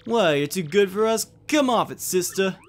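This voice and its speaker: A man's voice: high-pitched, expressive, normal speed, soft background music, voice pushed up. A man voicing a cocky, sneering little creature bully in a video game fan dub. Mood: sneering, aggressive. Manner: sneering, taunting.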